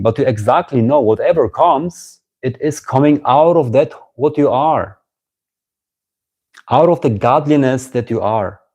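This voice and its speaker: A man with a German accent giving a live-streamed spiritual talk, musing slowly, repeating phrases and greeting viewers as they join. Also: mid-range voice, mellow. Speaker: spiritual teacher.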